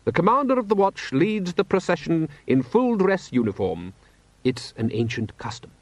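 Man voicing a cool, higher-pitched aristocratic ruler. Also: sing-song, dry recording. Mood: coolly commanding. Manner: measured, precise, drily sarcastic. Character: cool, dry, imperious aristocratic city ruler, male. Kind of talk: audiobook character acting.

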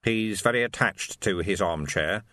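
A man's voice: on the low side, animated, moderate pace, a bit bright, dry recording, studio quality. A man voicing a timid, henpecked husband. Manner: hesitant, murmuring.

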